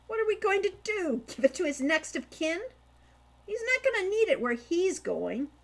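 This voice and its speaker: A woman voicing a bold, impatient sixteen-year-old boy in an audiobook, with a firm, brisk delivery. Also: very high-pitched, sing-song, pitched above the performer's own voice, full character voice. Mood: scornful. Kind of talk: audiobook character voice.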